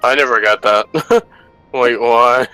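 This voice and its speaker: A man's voice: mid-range voice, slow, pushed and bright, smooth, poor audio. A man chatting casually with friends on a gaming voice chat. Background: game music.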